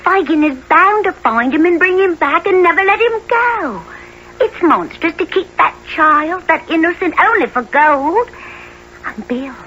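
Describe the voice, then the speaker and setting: A woman's voice: very high voice, animated, measured pace, pushed and bright, full character voice. An actress in a radio play voicing a frightened, devoted young woman, earnest and breathless as she pleads. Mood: earnest pleading. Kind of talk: melodramatic radio play acting. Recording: muffled radio-play recording, faint noise.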